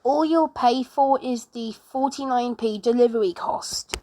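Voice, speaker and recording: Higher-voiced female amateur announcer, halting. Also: mellow, a little hiss or hum. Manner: halting, often restarts sentences. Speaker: narrator of an emergency alert scenario video. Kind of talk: homemade mock emergency broadcast narration.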